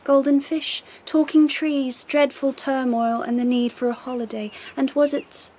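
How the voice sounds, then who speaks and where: Snooty cold young empress, radio drama, highish voice, very formal, slight background noise. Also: female voice, fairly even intonation, a little mellow. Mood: mocking menace. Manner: imperious, formal, measured, disdainful. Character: haughty, icy young Japanese empress.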